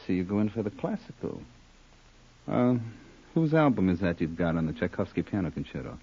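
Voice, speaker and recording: An American actor playing a cool, cultured hired killer in an old radio crime drama, unhurried, musing and dryly polite. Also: male voice, low voice, very animated, mellow, breathy edge, heavily acted. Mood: calm, politely menacing. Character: cool, cultured hired killer who loves classical music. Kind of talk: 1940s-50s radio crime drama acting. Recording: dull old-time radio recording.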